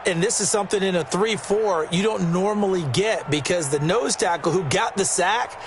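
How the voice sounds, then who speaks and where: A man's voice: sits high, moderate pace. An American man giving conversational, explanatory color commentary as a football broadcast analyst. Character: chatty male TV football analyst. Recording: game audio under heavy crowd and noise. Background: loud crowd and noise.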